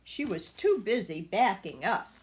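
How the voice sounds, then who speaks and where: A woman's voice: medium pitch, sing-song, unhurried. An older woman reading a children's story aloud, with asides to her young listeners. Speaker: storybook reader.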